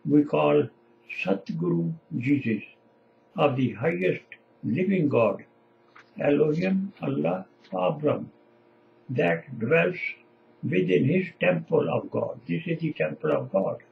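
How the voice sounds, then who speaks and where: Gravelly older Punjabi-accented man, preaching slowly. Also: fairly high pitch, lively intonation, highs cut off, a little hiss or hum. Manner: halting, short emphatic phrases. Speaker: lay preacher. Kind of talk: informal sermon to camera. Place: small indoor home office.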